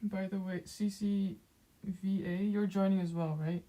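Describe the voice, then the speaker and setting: Soft, slow-talking young woman with a Dutch accent. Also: mid-range voice, flat delivery, low-quality recording. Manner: soft-spoken, halting, many fillers and small laughs. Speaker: streamer. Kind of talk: casual chat while drawing on stream.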